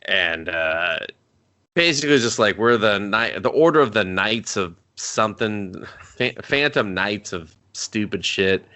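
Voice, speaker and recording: A man's voice: fairly low pitch, expressive, bright, forceful tone. A man co-hosting a movie review podcast, recapping scenes in casual, joking banter and acting out the characters' lines. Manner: joking, acts out scenes in quick put-on voices. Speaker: podcast co-host.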